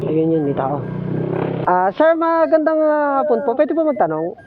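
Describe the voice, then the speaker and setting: High-voiced Filipino man, muffled noisy road audio. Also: sing-song, moderate pace. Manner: playful, teasing. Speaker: motorcycle taxi rider and vlogger. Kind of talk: casual joking chat. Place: outdoors, riding a motorcycle. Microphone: helmet intercom headset. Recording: dull helmet intercom, loud road noise. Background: vehicle and road noise.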